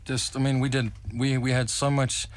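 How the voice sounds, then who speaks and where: A man's voice: medium pitch, little pitch movement, normal speed. A young South African man in a rock band, talking earnestly in a radio interview and stumbling over restarts.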